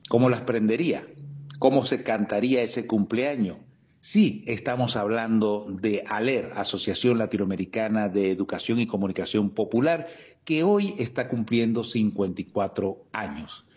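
A man from Venezuela records a greeting for a radio network. His voice sits on the low side. He stays upbeat, poses rhetorical questions and moves through long lists. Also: moderate pace, darkish tone. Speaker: radio contributor.

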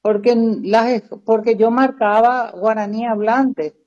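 A woman teacher from Paraguay, explaining things in a measured way in a casual conversation. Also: a little high, steady tone, darkish tone. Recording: dull lo-fi sound.

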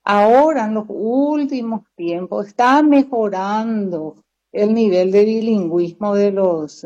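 A woman teacher from Paraguay, explaining things in a measured way in a casual conversation. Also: medium pitch, mellow. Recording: dull lo-fi sound.

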